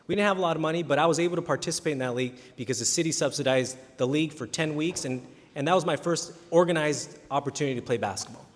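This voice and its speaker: A man's voice: a little high, very fast, few pauses. Earnest man at a candidate forum. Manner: earnest, many restarts and repeated words. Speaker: city council candidate. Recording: reverberant hall.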